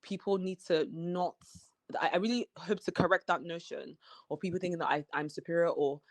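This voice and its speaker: A woman's voice: fairly low pitch, steady tone, a little fast, dry recording. Articulate woman, Nigerian-British accent. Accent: Nigerian and British mix. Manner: articulate, earnest, many "you know" fillers. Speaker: interview guest. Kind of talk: casual interview chat.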